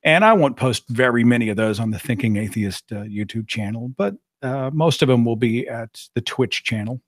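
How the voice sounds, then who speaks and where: A middle-aged man with a raspy voice, hosting a casual live-stream chat and rambling along with plenty of fillers. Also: medium pitch, normal speed, no echo, clean studio sound. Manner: rambling, conversational, with frequent fillers. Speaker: podcast host.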